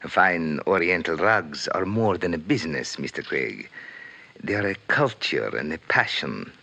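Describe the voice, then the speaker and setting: A proud, secretive bazaar trader in a fifties radio drama. His voice is very low and creaky, with a keen edge. He speaks formally and solemnly. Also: big pitch swings, measured pace, bright, forceful tone, full character voice. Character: cryptic, proud Oriental rug merchant, solemn and courteous. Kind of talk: 1950s radio drama acting.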